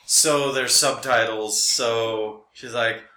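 A man chatting casually as a podcast co-host, telling stories in an animated way and acting out bits of dialogue. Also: mid-range voice, slow. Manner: animated storyteller, quotes people in dialogue. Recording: roomy, echoing room sound.

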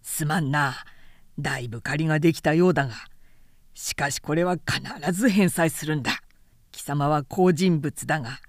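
A woman puts on a burly, hard-drinking samurai from a storybook. He talks bluntly and familiarly, with a rough swagger, in a dry recording. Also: low voice, dry recording, pitched below the performer's own voice, natural voice. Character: big, rough, hard-drinking samurai man, brash friend. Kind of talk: storybook character reading.

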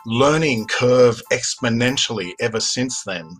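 A man's voice: mid-range voice, unhurried, fairly bright tone, faint music behind. An Australian-accented man in his fifties, telling stories as an interview guest in an enthusiastic, anecdotal way. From Australia, grew up in Sydney. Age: 50s. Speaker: podcast guest, documentary filmmaker. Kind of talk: interview storytelling.

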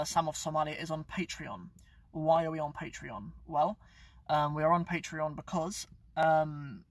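Guy, young adult, rambling live-stream host, fairly high voice, dry car recording. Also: no room sound, recorded from a distance. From England. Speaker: YouTube channel host. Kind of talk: casual live-stream chat. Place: inside his parked car.

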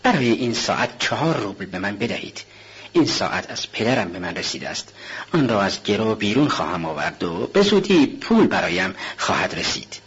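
A man with a husky voice playing an anxious, scheming poor young student in a storybook reading, muttering low when he plots alone, on a dull lo-fi recording. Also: sing-song, a bit bright, full character voice. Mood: pleading.

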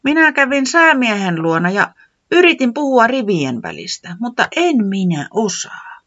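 Nainen esittää pientä, mietteliästä olentoa, joka puhuu epäröiden ja vihjaillen korkealla äänellä. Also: female voice, fairly high pitch, sing-song, fairly bright tone, lo-fi sound, voice pushed up, full character voice. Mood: complaining. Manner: hesitant, hinting, wistful.

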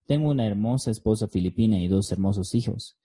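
Male podcast host, thirties, Bolivian accent, extremely soft-edged dark tone, earnest scripted delivery. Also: fairly low pitch, fast, slightly rough. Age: 30s. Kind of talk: scripted solo podcast narration.

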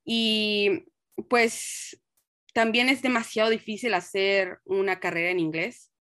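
A young woman from Mexico speaks with a Mexican accent as an interview guest. She is earnest and reflective, with a mid-pitched voice in a dry, close space. Her answers are conversational, with an occasional English word slipped in. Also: steady tone, slow, fairly bright tone, no echo. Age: young adult. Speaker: interview guest, nurse and researcher.

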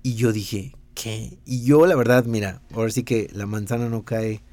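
A man's voice: on the low side, fairly even intonation, moderate pace, a little mellow, dry recording. A Mexican man in his thirties with a Mexican accent, a designer and teacher chatting casually in an interview, rambling with many fillers and restarts.

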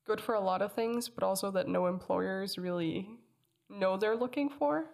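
Young woman, gravelly voice, calm and matter-of-fact, easy little laughs. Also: medium pitch, normal speed. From Denmark. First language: Danish. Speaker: guest. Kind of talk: casual interview chat.

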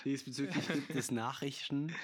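A man's voice: mid-range voice, fairly even intonation, normal speed, crowd noise. A man hosting a loose, jokey podcast chat. He rambles through his lines and keeps breaking into laughter at his own gags. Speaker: podcast host.

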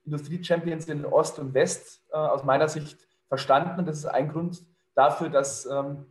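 A middle-aged man talks in a businesslike, fluent way, his voice a little higher than most. The sound comes from an echoey room. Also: steady tone, moderate pace. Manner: fluent, businesslike, many fillers. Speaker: leadership consultant. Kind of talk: conversational interview answer.

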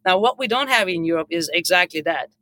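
Middle-aged Turkish-accented woman, bright and forceful, earnest unscripted panel speaker from the hotel business. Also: lowish voice, lively intonation, fast talker. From Turkey.

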